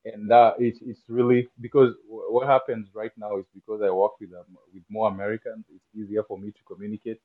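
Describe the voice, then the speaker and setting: A man with a Kenyan accent answers interview questions in a lowish voice, often stopping and restarting and breaking into easy laughter. The recording sounds dull and lo-fi. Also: flat delivery. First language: not English. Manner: halting, many restarts, laughs easily. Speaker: guest. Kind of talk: interview conversation.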